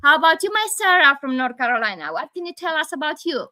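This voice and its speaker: A Romanian woman in her forties hosts a live video call, her speech animated but halting, full of restarts and little laughs. Her voice sits fairly high and rings bright and forceful through the call audio. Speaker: host.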